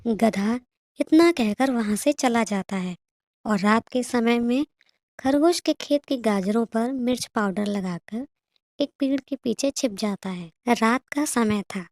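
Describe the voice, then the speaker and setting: A woman storyteller with a soft, mellow voice, slightly high in pitch. She reads cartoon story narration in a dry recording. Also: a little slow, dry recording.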